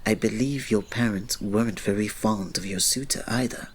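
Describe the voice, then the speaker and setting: A man playing a reserved, devoted royal servant in an ASMR romance roleplay, speaking softly, politely and formally with a breathy voice. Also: on the low side, normal speed, a little hiss or hum, lightly acted. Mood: earnest, questioning. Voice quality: soft, breathy. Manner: soft, polite, formal, restrained, often dropping to a breathy murmur.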